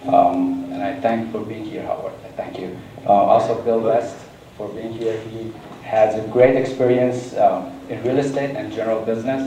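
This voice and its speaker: A man giving a hesitant acceptance speech with many pauses and a flat, monotone delivery. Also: on the low side, dull audio. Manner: hesitant, many ums, self-described monotone. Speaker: award recipient. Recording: echoey hall, distant mic, background noise.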